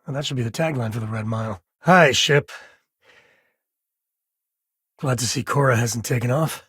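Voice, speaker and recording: An easygoing spacefaring cowboy for a video game. His middle-pitched voice is heavily husky, with folksy turns of phrase and dry sarcasm. He comes across as a wry, devoted father, recorded dry without room sound. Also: moderate pace, a bit bright, no echo. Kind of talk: video game character dialogue.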